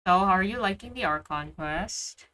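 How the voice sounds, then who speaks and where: A woman's voice: lowish voice, lively intonation, normal speed, pushed and bright. A woman streaming a game, chatting and reacting casually in slangy, colloquial speech. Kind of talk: casual reactions and chat during play.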